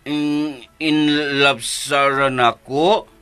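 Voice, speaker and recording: An actor playing a childlike, simple-minded grown man in a radio drama, stammering in halting, broken phrases and slow to understand. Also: mid-range voice, fairly even intonation, sharp tone, smooth, faint music behind. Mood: confused, earnest.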